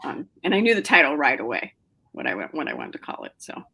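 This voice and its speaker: Low-voiced chatty woman novelist. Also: huge pitch swings, fast, creaky, sharp tone, breathy edge. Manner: chatty, many fillers and restarts. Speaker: novelist guest. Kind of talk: casual interview answers.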